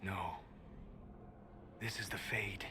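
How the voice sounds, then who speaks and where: An actor playing a calm, scholarly mage in a video game, speaking with measured, precise formality. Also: male voice, sits low, background noise. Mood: awed, hushed. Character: calm, scholarly, wonder-struck mage expert.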